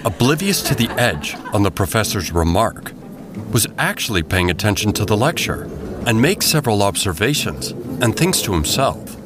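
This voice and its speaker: A man narrating a scripted audio drama as a measured, descriptive storyteller. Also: lowish voice, very animated, noisy background. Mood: storytelling.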